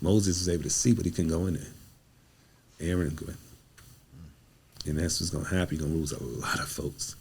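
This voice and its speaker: A man's voice: low-pitched, steady tone, brisk, vocal fry, pauses often, a little mellow, husky. An American man talking motivationally as a podcast guest, in an emphatic, preacherly way, often repeating phrases. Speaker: podcast guest, playwright and director. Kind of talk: motivational conversational talk.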